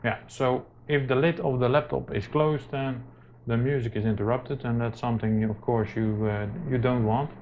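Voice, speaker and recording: A man presenting an informal hands-on tech tutorial, speaking haltingly with very frequent hesitations. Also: medium pitch, fairly even intonation, slight background noise. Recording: muffled lo-fi mic, music behind.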